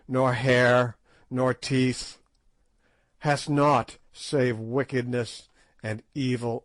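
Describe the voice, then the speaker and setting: A man reading aloud and voicing a stern king who speaks slowly and with command. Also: medium pitch, no room sound, close to an everyday voice. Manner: slow, stern, measured.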